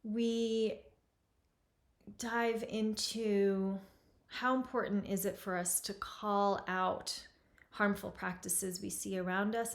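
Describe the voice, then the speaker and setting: A woman host in her forties, from Lebanon, speaking at an unhurried pace with a middle-range voice. She talks reflectively and softly, taking her time over each thought. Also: mid-range voice. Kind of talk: reflective event introduction.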